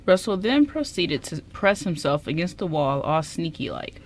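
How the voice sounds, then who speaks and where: A woman's voice: on the low side, very animated, normal speed. A woman reading scripted story narration as the narrator of a radio drama.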